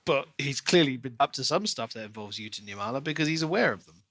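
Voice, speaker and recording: A man with a bright, forceful voice, recorded dry and close. He talks casually as a podcast co-host, thinking aloud and posing questions as he builds theories. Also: mid-range voice, big pitch swings, fast talker, vocal fry, no room sound. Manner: speculative, questioning. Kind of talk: casual book discussion.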